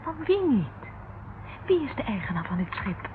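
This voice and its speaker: A woman's voice: mid-range voice, very animated, normal speed, close to an everyday voice. An actress playing a polite but questioning young woman held captive, in an old radio drama. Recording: muffled, noisy old radio recording.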